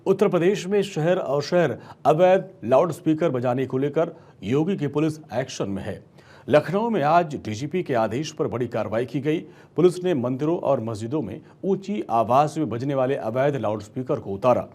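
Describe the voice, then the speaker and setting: A man's voice: a little high, expressive, normal speed, darkish tone. A man reading a scripted news report as an anchor, with emphatic delivery.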